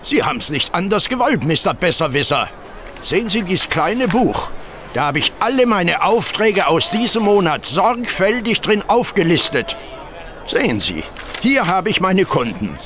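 A combative, irritable market dealer in a radio play. His voice is high for a man and very animated, with brusque delivery over clear street noise. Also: normal speed. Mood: smug. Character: gruff, irritable, stubborn male market poultry dealer. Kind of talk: German audio drama acting.

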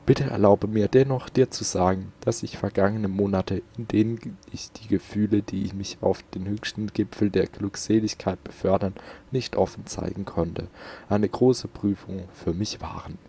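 A man's voice: lowish voice, steady tone, normal speed, vocal fry, warm tone, husky. A man doing casual let's play commentary and reading in-game notes aloud, close to the microphone.

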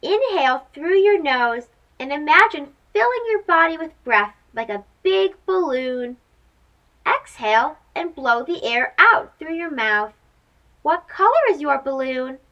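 A woman's voice: sits high, lively intonation, a little slow, muffled recording. A young woman hosting a children's read-aloud, talking in a sing-song way to little listeners as she reads a picture book.

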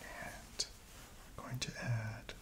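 A middle-aged man whispering softly and breathily in an ASMR roleplay, murmuring unhurriedly to himself as he works as a chemist. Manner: slow, unhurried murmuring to himself while working. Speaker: ASMR roleplayer playing a soft-spoken apothecary chemist. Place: indoors, small lab-styled set.

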